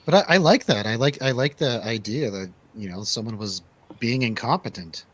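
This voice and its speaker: A man of average pitch talks through his opinions on a film in a loose, casual panel style. He often doubles back and repeats a phrase to stress it. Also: animated, normal speed, husky. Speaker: movie review panelist. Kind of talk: casual opinionated movie chat.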